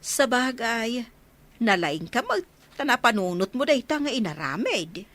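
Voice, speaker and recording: A kind, outspoken village woman acted by a woman in an Ilocano radio drama. Her voice is bright and fairly high. She is chatty and likes to tease. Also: sing-song, measured pace, full character voice. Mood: sympathetic.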